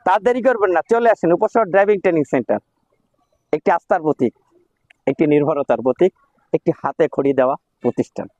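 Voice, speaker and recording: Man, Bangladeshi accent, very quick pace, driving-school promoter, slogan-style delivery, dry dull lo-fi sound. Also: on the high side, darkish tone, dry recording. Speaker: driving instructor and presenter of a driving school. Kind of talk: promotional vlog presenting and instructing.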